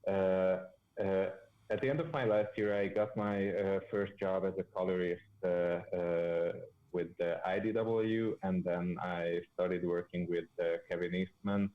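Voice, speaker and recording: A man in his thirties with a very deep voice. He talks slowly and flatly, with many fillers. The audio is dull and lo-fi. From Hungary. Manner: hesitant, many fillers, flat delivery. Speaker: comic colorist guest.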